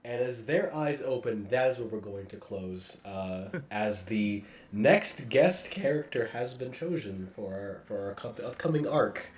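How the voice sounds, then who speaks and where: A man hosting and narrating a tabletop game as game master, playful and excitable, in a casual style. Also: mid-range voice, unhurried, few pauses. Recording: echoey room.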